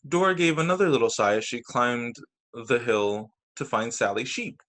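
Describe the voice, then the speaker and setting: A man's voice: mid-range voice, expressive, moderate pace, a bit bright. A man reading picture books aloud to children as a teacher, narrating over a video call. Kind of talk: storytime narration read aloud.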